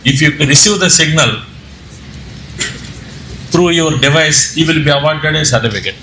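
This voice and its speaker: Man, Indian Telugu accent, higher-pitched, halting presenter, self-correcting, faint background noise. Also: sharp tone. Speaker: presenter, amateur radio operator and trainer. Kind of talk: informal slide presentation to an audience. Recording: echoey room with background noise.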